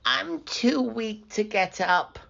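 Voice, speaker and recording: A woman's voice: medium pitch, animated, slow, vocal fry, a bit bright, muffled recording, pitched above the performer's own voice, full character voice. A woman voicing a weak, trusting elderly grandmother in a storybook, calling out frailly. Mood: feeble.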